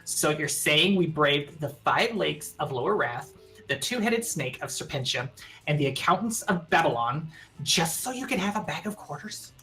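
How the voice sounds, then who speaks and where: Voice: mid-range voice, lively intonation, moderate pace, a bit bright, soft background music, poor audio. A young adult friend teasing the host sarcastically during a game session. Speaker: friend playing along. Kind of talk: casual banter and reading game dialogue.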